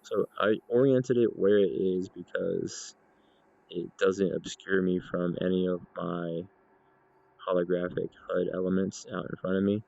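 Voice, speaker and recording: A man narrating an informal software demonstration in a measured, explanatory way. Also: deep voice, fairly even intonation, creaky. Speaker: gaming video creator demonstrating software. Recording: dull lo-fi voice recording.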